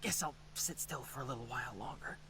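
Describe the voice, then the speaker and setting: A woman voicing a proud, injured young man in a game, breathy and dry-humored. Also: extremely low pitch, quick pace, noisy background. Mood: pained, stubborn. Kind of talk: animated game voice acting.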